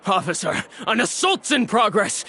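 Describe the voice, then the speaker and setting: An actor playing a sullen, blustering male street bully in a video game, with a gravelly, sharp voice and a whining manner. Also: high voice, very animated, slow pace, noisy background, full character voice. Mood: panicked, pleading. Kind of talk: scripted video game dialogue.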